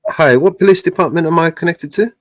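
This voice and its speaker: A man's voice: on the high side, fast talker, no echo, studio quality. A man with a British accent, hosting a live stream and calling a police department, hesitant and full of fillers as he explains. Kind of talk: live-streamed phone call.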